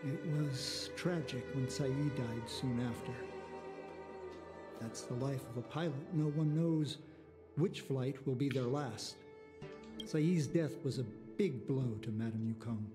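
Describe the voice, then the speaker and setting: A man voicing a discreet, long-lived officer in a video game, speaking in a measured, formal and solemn way. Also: mid-range voice, music in the background, lightly acted. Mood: somber.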